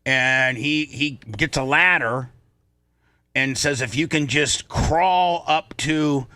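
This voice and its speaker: Bright, forceful man telling a story. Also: a little high, takes it slowly, clean tone, no echo. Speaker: talk show host. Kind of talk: casual storytelling on a talk show.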